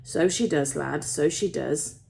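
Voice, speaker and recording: A woman voicing a gruff, grumbling old male tin miner with a Yorkshire accent in a story. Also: low voice, measured pace, darkish tone. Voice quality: gruff, rough. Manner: dialect grammar, grumbling, emphatic repetition.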